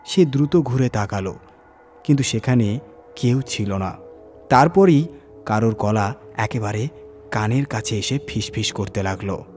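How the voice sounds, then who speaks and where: A man reading a scripted horror story dramatically, with suspenseful pauses and emphasis. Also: fairly low pitch, animated, a little mellow, faint music behind. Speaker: horror story reader and channel host.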